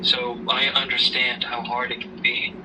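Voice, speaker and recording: A man playing a grieving widowed father who gently counsels his daughter in a halting, measured way in an amateur audio drama. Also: monotone, natural voice. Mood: grieving, subdued. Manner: halting, subdued, measured delivery. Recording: noisy rumbling background, distant mic.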